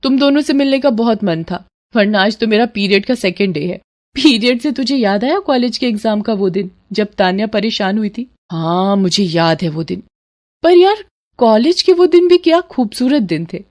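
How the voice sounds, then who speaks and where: A woman's voice: medium pitch, animated, normal speed, darkish tone, close to an everyday voice. A woman storyteller voicing young married women friends who chat affectionately and nostalgically. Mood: chatty. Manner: chatty, nostalgic.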